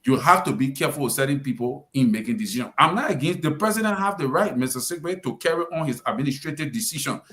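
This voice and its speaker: A man's voice: medium pitch, lively intonation, quick pace, few pauses, a bit bright. A man with a Liberian English accent on a radio panel, giving political commentary in an emphatic, lecturing manner. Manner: lecturing, emphatic, addresses the president directly. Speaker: radio talk show panelist.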